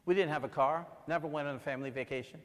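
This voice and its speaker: Preacher from Guyana, a man with a higher-set voice, telling his story then building to fervor, heard in a reverberant hall. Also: racing. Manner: builds from quiet storytelling to loud, fervent emphasis. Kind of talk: sermon.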